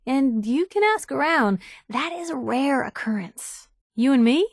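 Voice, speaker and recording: A woman voicing a sharp-witted, idealistic young female reporter companion in a video game, wry and conversational. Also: high voice, expressive, a little slow, dry recording, studio quality. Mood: warm, upbeat.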